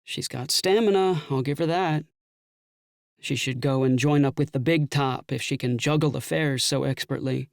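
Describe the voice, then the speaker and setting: A man's voice: medium pitch, normal speed, creaky, dry recording, studio quality, lightly acted. A man playing a jealous, sarcastic magician who hides his nerves behind theatrical quips in an audiobook drama. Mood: sarcastic, uneasy. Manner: wry, theatrical, quippy delivery. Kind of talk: full-cast audiobook dialogue acting.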